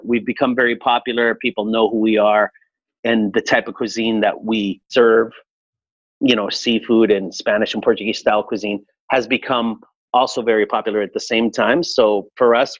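A man in his forties from Chile, a restaurateur answering interview questions in a relaxed, reflective way with frequent fillers. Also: lowish voice, moderate pace, dry recording, highs cut off. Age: 40s. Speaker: restaurateur guest.

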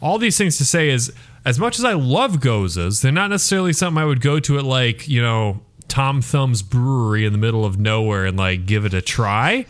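A man's voice: mid-range voice, lively intonation, a little fast. Man in a casual, descriptive review chat. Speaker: beer reviewer and show regular.

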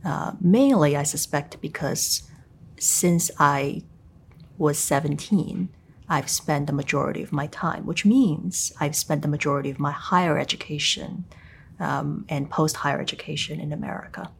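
A woman whose first language is Chinese, speaking English in a thoughtful academic conversation, pausing to search for words. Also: low voice, fairly even intonation, vocal fry, a little hiss or hum. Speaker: tutor.

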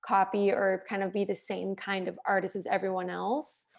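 A woman's voice: mid-range voice, flat delivery, normal speed, creaky. A woman hosting a casual interview, asking follow-up questions and encouraging her guest. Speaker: podcast host.

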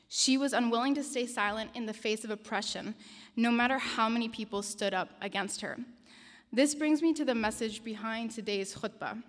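A young woman from Denmark giving an earnest, personal pre-sermon talk with measured pauses, in a mosque prayer hall. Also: fairly high pitch, a bit bright. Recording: reverberant hall.

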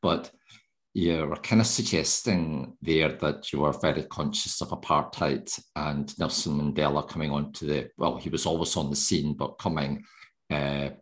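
A man's voice: extremely low pitch, a bit bright. A man hosting a casual interview podcast, chatty and teasing, with rambling questions. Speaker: podcast host.

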